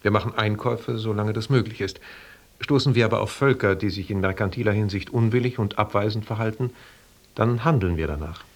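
Man acting precise inquisitive expedition member, lowish pitch, formal, deliberate, pedantic, radio drama. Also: a little hiss or hum, natural voice. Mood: dry, deliberate.

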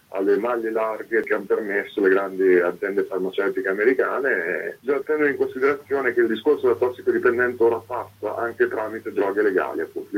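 A man's voice: lowish voice, husky. A man being interviewed, explaining things informally in a discursive, analytical manner. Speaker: interviewed author. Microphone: phone call. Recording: muffled narrowband telephone line.

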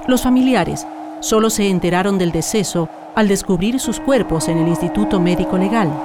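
A woman with a Chilean accent reading scripted documentary narration for a radio drama in a formal, measured way. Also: mid-range voice.

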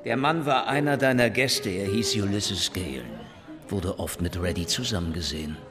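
Crime radio play: male actor, terse menacing detective, low register, loud musical backing. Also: normal speed, over music, lightly acted. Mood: pressing. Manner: terse, threatening questioning. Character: hard-boiled, menacing male police detective. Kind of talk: German radio crime drama acting.

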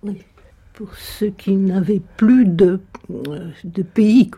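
An older woman tells a personal story slowly and acts out what people said to her. Her voice is husky and breathy, and warm in tone. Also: medium pitch. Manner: anecdotal, acts out quoted dialogue. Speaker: interviewee.

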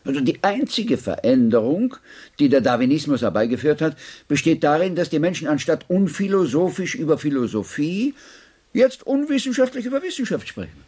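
Husky, wry gentleman philosopher. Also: fairly high pitch, sing-song, fairly quick. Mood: spirited, argumentative. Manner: courteous, wry, discursive. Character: genial, ironic, eloquent English gentleman philosopher. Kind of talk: German radio drama acting.

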